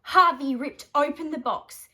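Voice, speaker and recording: An Australian woman reading a children's picture book aloud, animated and dramatic with playful emphasis. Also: high voice, measured pace. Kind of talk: expressive children's bedtime story reading.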